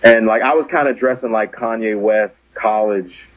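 A man's voice: lowish voice, normal speed, no echo, phone-quality sound. A man, a comedian guest on a podcast, telling a story in an earnest, self-deprecating way.

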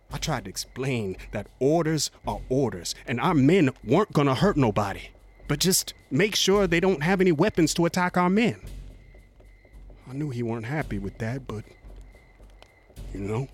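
A man acting a rough-spoken frontier cavalryman in a radio drama, haunted by what he has been through. His voice sits at a middling pitch. He tells his story haltingly, with homespun, ungrammatical phrasing, over faint music. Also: close to an everyday voice. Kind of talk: dramatic first-person monologue, radio drama.

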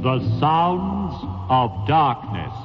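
A man announces in a formal, deliberate 1960s radio manner with very animated, dramatic intonation. Loud music plays behind him. The old recording sounds dull. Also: a little high. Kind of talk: scripted 1960s radio sponsor introduction. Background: loud music with guitar.

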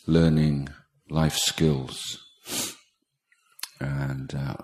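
An extremely low-pitched man guides a meditation. He speaks slowly and carefully, breaking his instructions into short phrases with pauses between them. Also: little pitch movement, a little mellow. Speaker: meditation teacher, Buddhist monk. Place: meditation retreat talk in Thailand.

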